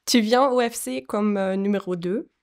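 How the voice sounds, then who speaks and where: A woman's voice: medium pitch, moderate pace. A woman interviewing a footballer, reading out prepared questions. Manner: reads prepared questions, friendly laughs.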